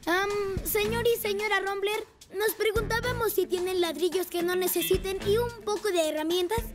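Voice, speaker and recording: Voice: extremely high pitch, fairly even intonation, moderate pace, over loud music. An actor voicing a brave, eager young rescue motorbike in a Spanish cartoon dub, upbeat and taking charge.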